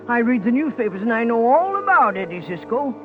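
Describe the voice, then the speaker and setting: Very high male voice, timid frightened pawnbroker, nervous and pleading, vintage radio drama, muffled sound with music. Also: big pitch swings, a little fast. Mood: shaken, indignant. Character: frightened, meek, law-abiding male pawnshop owner. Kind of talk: 1950s radio crime drama acting. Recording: dull 1950s radio recording with music.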